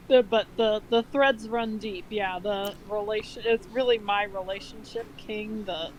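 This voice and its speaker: A woman's voice: medium pitch, steady tone, unhurried, poor audio. A woman in her twenties co-hosting in casual chat, blunt and sweary, with sarcastic exaggeration. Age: 20s. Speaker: video co-host.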